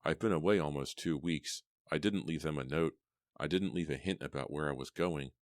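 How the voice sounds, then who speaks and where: A nervous teenage girl, acted by a male narrator, speaks in a shaky, breathless way in a storybook reading. The voice rises high above his very deep natural tone, heard dry and close. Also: moderate pace, no room sound. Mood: anxious. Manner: breathless, anxious. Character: frightened teenage girl lifeguard, earnest.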